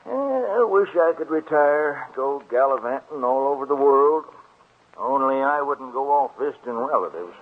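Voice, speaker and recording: A man's voice: medium pitch, expressive, moderate pace, lightly acted. An actor playing a chatty, nosy small-town postman with a folksy, colloquial way of talking in 1940s radio drama. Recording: tinny old radio recording.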